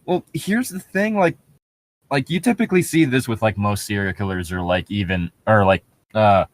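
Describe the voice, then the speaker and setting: Low-pitched, animated, wry man. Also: normal speed. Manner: wry, sarcastic, laughs often. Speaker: co-host reading and mocking online horror fiction. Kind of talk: casual banter with amused read-aloud commentary.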